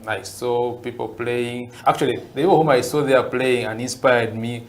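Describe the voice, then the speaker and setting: Man, mid-pitched voice, interview guest recalling his past, chatty with fillers. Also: fairly even intonation, normal speed, a little hiss or hum. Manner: storytelling, with frequent uhs and repeats. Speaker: interview guest, basketball player. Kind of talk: reminiscing in an interview.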